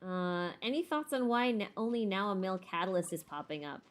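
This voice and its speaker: A woman's voice: mid-range voice, brisk. A woman hosting a livestream, answering viewer questions in a casual, opinionated way as she thinks aloud, with informal, emphatic phrasing. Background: background music.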